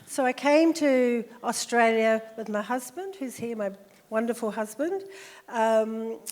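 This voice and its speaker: Middle-aged kiwi lady, social worker talking at church, mellow voice, big echoey hall. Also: on the high side, unhurried. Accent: New Zealand. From New Zealand. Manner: warm and chatty, with many ums. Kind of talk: church interview, conversational. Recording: echoey hall with long reverb.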